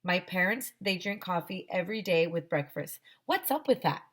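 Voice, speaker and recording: A woman's voice: lowish voice, animated, normal speed, fairly bright tone. A woman voicing a cheeky, wisecracking young schoolboy in a children's storybook, chatty and emphatic. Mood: indignant. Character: wisecracking young schoolboy narrator who hates grown-up stuff.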